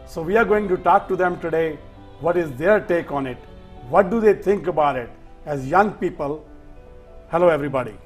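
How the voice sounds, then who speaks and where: Guy hosting a tv interview, kinda high voice, slow and earnest, lots of uhs, faint music under it. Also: animated. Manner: earnest, deliberate, with pauses and fillers. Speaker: host.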